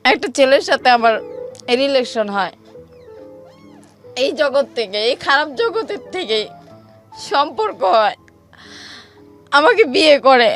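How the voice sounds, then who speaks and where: Bangladeshi woman, high-pitched, emotional first-person storyteller in everyday colloquial speech, light music underneath. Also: animated, unhurried, long pauses, fairly bright tone. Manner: plain colloquial speech, reenacting quoted exchanges. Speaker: guest telling her life story.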